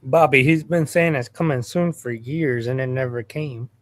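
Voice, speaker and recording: A man's voice: medium pitch, moderate pace. A man with a mid-range voice joins casual live stream banter. He teases the others, laughing as he talks.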